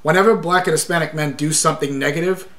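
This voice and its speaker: A man's voice: fairly high pitch, steady tone, fast, fairly bright tone. A man hosting a podcast interview who builds a long, argumentative point in response to his guest. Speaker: podcast host.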